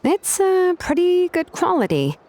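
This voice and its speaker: A woman's voice: very high-pitched, wildly swooping pitch, a little slow, darkish tone, full character voice. A woman playing a kind, laid-back young female artisan in a video game, speaking casually. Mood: easygoing.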